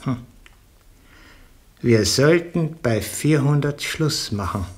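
Dry, calculating Austrian bureaucrat. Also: male voice, mid-range voice, animated, slow pace, darkish tone. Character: dry, calculating male ministry official, coldly bureaucratic. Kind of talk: German-language radio play dialogue.

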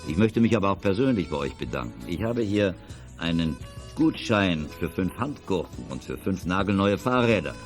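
An actor playing a courteous, formal auction-house gentleman in a children's audio drama, speaking politely and declamatorily. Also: sits low, big pitch swings, normal speed, soft background music. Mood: grateful, formal. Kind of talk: 1980s German children's audio drama acting.

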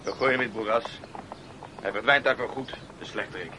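A man playing a courteous, bold and calm wandering brother in a radio drama. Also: fairly low pitch, a little fast, natural voice. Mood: cool defiance. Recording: noisy, distant radio play recording.

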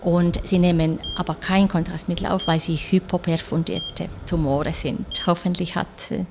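Woman, senior doctor, low creaky voice, careful hesitant explainer, clearly noisy recording. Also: fairly even intonation, normal speed. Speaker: senior physician in radiology. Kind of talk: explaining medical findings in an interview.

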